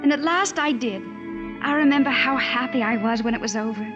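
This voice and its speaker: An actress playing an earnest, devoted and anxious young wife in a 1940s-style radio drama, speaking tenderly and often softly. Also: female voice, fairly high pitch, fairly quick, natural voice. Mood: wistful, narrating. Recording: dull old radio recording, music behind.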